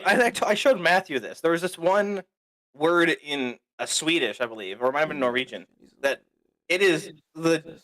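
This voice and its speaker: A man's voice: fairly high pitch, sharp tone, poor audio. A young man on a stream, explaining things casually and fumbling for words. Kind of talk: casual chat.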